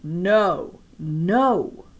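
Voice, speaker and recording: A blunt, indignant young schoolgirl , acted by a woman storyteller, in a children's audiobook. The words land slowly and emphatically, with noise in the recording. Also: on the low side, animated, exaggerated voice, low-quality recording. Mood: disbelieving.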